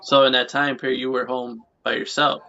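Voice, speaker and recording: A man's voice: medium pitch, flat delivery, fairly quick, creaky, pushed and bright. A man in his late twenties hosting a casual interview, rambling and repeating his phrases. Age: late 20s. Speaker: podcast host. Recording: muffled lo-fi audio.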